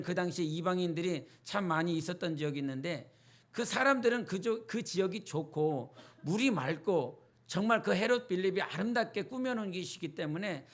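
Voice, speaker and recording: A man's voice: sits high, gravelly, a bit bright. A male pastor with a North Jeolla accent, preaching a midweek sermon in a chatty, storytelling way and putting questions to the congregation. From North Jeolla, South Korea.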